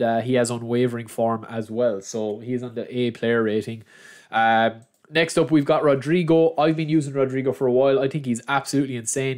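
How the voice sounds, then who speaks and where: A man's voice: medium pitch, a little mellow. A young man with a head cold that makes him a bit nasal, chatting through a screen-recorded football game guide and calling his viewers lads. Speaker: gaming channel host. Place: at a computer, voice-over of screen recording.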